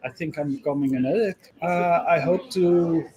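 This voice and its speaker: A man's voice: on the high side, moderate pace, poor audio. A man from the Netherlands attending a conference, speaking hesitantly with "uh" fillers. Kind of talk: casual vox-pop comments. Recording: crowd chatter behind.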